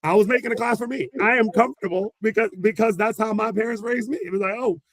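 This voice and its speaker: A man, a special education teacher, answering interview questions in an animated storytelling way, laughing often and acting out quotes. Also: sits high, fast, dry recording.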